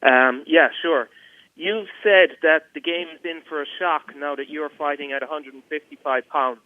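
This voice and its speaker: A man's voice: on the high side, moderate pace, dry recording. A man working as a reporter, asking questions over a telephone conference call. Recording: muffled narrow phone line.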